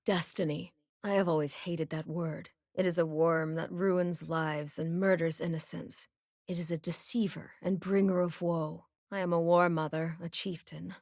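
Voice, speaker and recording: A woman voicing a calm, solemn warrior chieftain in a video game, grave and measured. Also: fairly low pitch, no room sound, clean studio sound, close to an everyday voice. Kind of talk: video game character voice lines.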